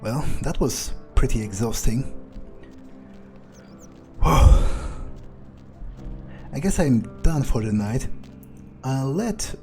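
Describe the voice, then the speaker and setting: A man role-playing a jaded, domineering, foul-mouthed male vampire in a video game, thinking aloud in a contemptuous, deliberate way. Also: mid-range voice, animated, mellow. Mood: weary, dry. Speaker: gamer role-playing in character. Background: faint game music.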